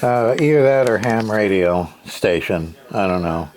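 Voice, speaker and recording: A man's voice: on the low side, animated, unhurried, a little mellow. A man giving a casual, hands-on repair narration, rambling and muttering to himself in a folksy, plain-spoken way. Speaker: CB radio repair hobbyist. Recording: noisy, with clicks and radio sound.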